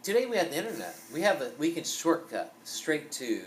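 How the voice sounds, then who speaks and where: Rambling, animated young man podcaster. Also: fairly high pitch, a little fast, a little hiss or hum, poor audio. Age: young adult. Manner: animated, rambling, lots of fillers and swearing. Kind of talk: casual chat. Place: small indoor room, basement studio.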